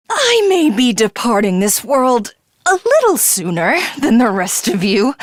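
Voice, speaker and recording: A woman voicing an arrogant, sadistic alien warrior craving godhood in a video game, with theatrical, drawn-out taunts and a breathy, husky edge. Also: high-pitched, no room sound, studio quality, full character voice. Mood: bitter, vengeful. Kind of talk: dramatic video game villain acting.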